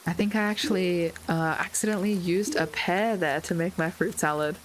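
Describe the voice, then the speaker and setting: A woman with a slightly hoarse voice, chatting casually through her gameplay with light puns and frequent ums. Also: on the low side, steady tone, normal speed, noisy background. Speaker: gaming video creator. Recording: loud game music with rain sounds behind.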